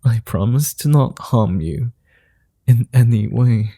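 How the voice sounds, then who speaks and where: A man voicing a gentlemanly male vampire in an ASMR roleplay, speaking in formal, old-fashioned phrases and chuckling softly. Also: mid-range voice, animated, takes it slowly, no room sound. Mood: amused.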